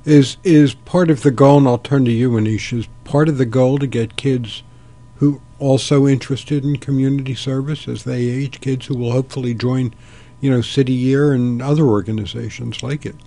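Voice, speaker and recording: Older male radio host, genial and chuckling, gravelly mid-range voice. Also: medium pitch, steady tone, moderate pace, warm tone. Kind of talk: radio talk show.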